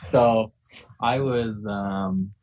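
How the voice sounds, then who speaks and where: Laughing, low-voiced young man. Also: drawn-out words, crowd noise. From Ukraine, raised in Virginia. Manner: laughs often, repeats words. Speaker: guest, professional tennis player. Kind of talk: casual podcast chat.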